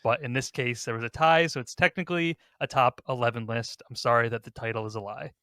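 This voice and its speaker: Male podcast host, casual discussion, crisp bright edge, very dry sound. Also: mid-range voice, fairly even intonation, quick pace, no room sound.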